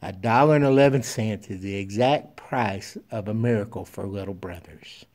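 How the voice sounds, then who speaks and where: Gentle, soft-spoken well-dressed surgeon, as a man voices him in a told story. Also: fairly low pitch, moderate pace, voice pushed down, lightly acted.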